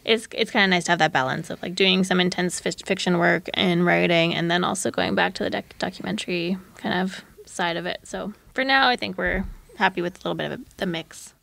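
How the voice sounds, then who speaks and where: A woman's voice: lowish voice, a little fast, creaky, a bit bright. A woman chatting casually as an interview guest, talking about her work as a show creator. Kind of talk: casual chat.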